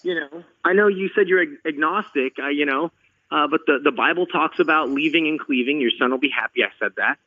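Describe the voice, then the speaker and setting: A man's voice: a little high, fairly quick, no echo, lo-fi sound. A middle-aged man from Los Angeles hosting a podcast, chatting earnestly and often repeating words as he starts a sentence. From Los Angeles, California. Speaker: podcast host. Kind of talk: casual conversational chat.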